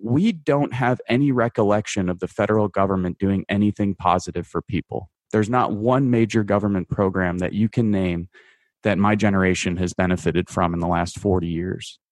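Man, 30s, radio guest, very deep voice, halting analytical delivery, dry close sound. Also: no room sound. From United States. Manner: measured, hesitant, many fillers and restarts. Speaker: guest writer. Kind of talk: radio interview, analytical commentary.

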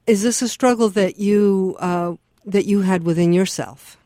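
A woman with a mellow, warm voice asks an author earnest, personal questions. The sound is dry and close. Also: mid-range voice, measured pace, no room sound. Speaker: radio interviewer. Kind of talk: interview questions.

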